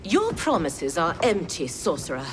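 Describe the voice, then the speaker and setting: A woman's voice: on the low side, wildly swooping pitch, unhurried, music in the background. An actress playing a wary, distrustful woman warrior on guard, formal and challenging, in a video game cutscene.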